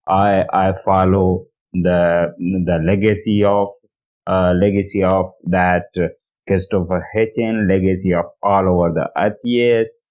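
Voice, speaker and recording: A man with a Pakistani accent giving a personal testimony in halting, repetitive English, searching for words. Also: deep voice, a little mellow. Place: recorded voicemail message. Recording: dull lo-fi voice message.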